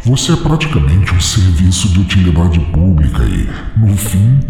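A cruel inner demon, put on by a male narrator, taunts in a very deep, gravelly voice that swoops between sneers and mock sweetness. The voice is drenched in a long echoing reverb over music. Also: very animated, normal speed, warm tone. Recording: echoey reverb effect with music.

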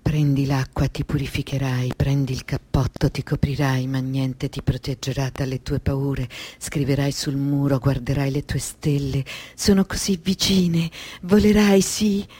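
An actress playing a tormented, doomed young daughter in an intense, poetic radio drama monologue. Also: female voice, rumbling low, steady tone, unhurried, few pauses, dark tone, breathy edge, no echo, exaggerated voice. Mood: solemn, mournful.

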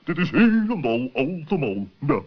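Fussy, indignant talking owl, husky voice, dull old radio recording. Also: male voice, fairly high pitch, very animated, normal speed. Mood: flustered, indignant. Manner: wordy, hesitant, repeats himself. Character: fussy, indignant male owl, wordy and stubborn. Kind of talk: children's radio play acting.

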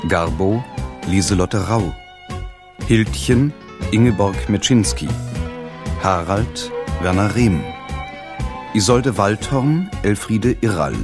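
A man reads radio drama credits formally. His voice is low and relaxed, unhurried, with clear plucked-string music behind. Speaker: credits announcer.

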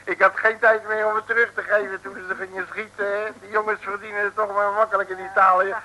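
An actor playing a cheeky, blustering detective with a Dutch accent and folksy slangy talk, in an old comic radio play. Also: male voice, high voice, flat delivery, quick pace, bright, forceful tone, poor audio. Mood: cheeky, excitable. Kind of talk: 1950s-60s comic radio play acting.

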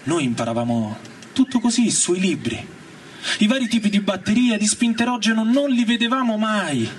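A man reading a first-person story aloud in a theatrical, colloquial way, drawing out words for emphasis with pauses. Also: high voice, animated, a little hiss or hum. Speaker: actor reading a novel aloud. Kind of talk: dramatic first-person literary reading over live music. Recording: distant mic, loud music over voice. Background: loud guitar and organ music.

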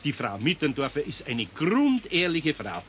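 An actor playing a gruff, kindly older carpenter in a radio play, grumbling with a Bavarian accent. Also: male voice, fairly high pitch, wildly swooping pitch, measured pace, a bit bright, a little hiss or hum, heavily acted. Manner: grumbling, dry, dialect-tinged.

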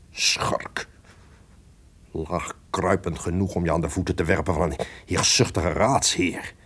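An actor playing a powerful, vengeful, scheming duke in theatrical radio drama, with declamatory, archaic formal diction. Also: male voice, low voice, husky, full character voice. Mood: scornful, brooding.

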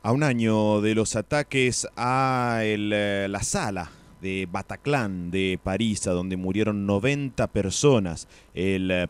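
Clear-voiced male radio news commentator. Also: lowish voice. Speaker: radio host presenting world news. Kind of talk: unscripted spoken news commentary.

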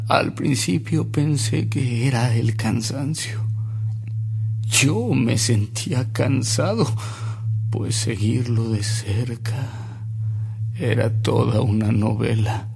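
Radio drama, reflective, weary Mexican narrator: slow, measured, heavy rasp, dramatic pauses. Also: male voice, medium pitch, a little mellow, soft background music, heavily acted. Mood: reflective, confiding. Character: weary, reflective man recalling a saintly bishop's deeds.

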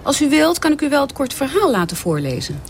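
A woman playing a brisk, polite airline purser in an absurdist radio play. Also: high-pitched, very animated, slight background noise. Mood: politely announcing.

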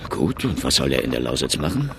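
A man's voice: a bass voice, big pitch swings, fast, gravelly, noisy background, full character voice. A man playing a wry, worldly prince and royal confidant in a radio drama, drawling and ironic.

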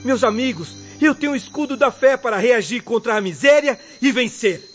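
Man playing a high-voiced, humble, devout farmer. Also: fairly quick, a bit bright, faint music behind. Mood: devout, hopeful. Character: humble, devout, good-humored poor male farmer. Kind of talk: Brazilian radio drama acting. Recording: dull lo-fi audio.

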